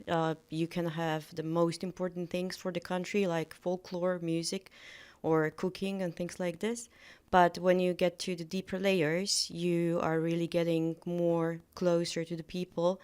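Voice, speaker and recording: Flat-toned woman explaining calmly. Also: fairly low pitch, smooth. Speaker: podcast co-host. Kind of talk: explaining, casual podcast talk.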